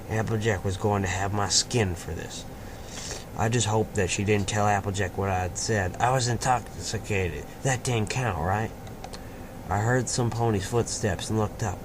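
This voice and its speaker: A man with a fairly low voice narrates first-person fan fiction. He reads it as a dramatic story, with noticeable noise behind him.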